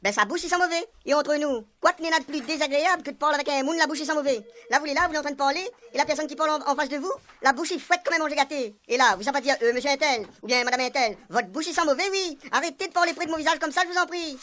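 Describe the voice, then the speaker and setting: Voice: high voice, racing, few pauses, bright, forceful tone. An actor in a comic radio serial playing a simple, chatty police sergeant who loves silly jokes, speaking French with a Réunion Creole accent in rambling, repetitive folksy phrases.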